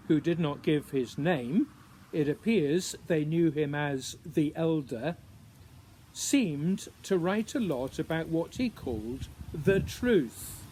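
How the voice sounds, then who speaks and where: Guy reading a poem out loud, real slow and thoughtful, pauses a lot, bit of noise behind him. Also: on the high side. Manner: deliberate, pausing between short phrases, musing questions. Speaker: writer reading own poem. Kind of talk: introduced poetry reading, measured recitation.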